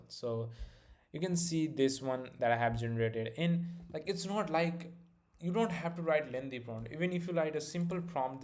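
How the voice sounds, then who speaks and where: A young man with an Indian accent, hosting a live stream and chatting loosely with many fillers while demoing a product. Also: mid-range voice. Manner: loose, filler-heavy, restarts often. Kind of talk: casual live-stream chat and product demo.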